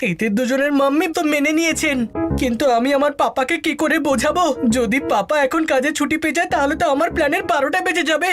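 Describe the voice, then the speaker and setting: A woman's voice: sits high, fast, music in the background, natural voice. A woman voicing a cheeky, dramatic schoolboy in a dubbed cartoon, whiny and pleading. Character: cheeky schoolboy Chiku, older brother. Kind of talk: Bengali cartoon dub.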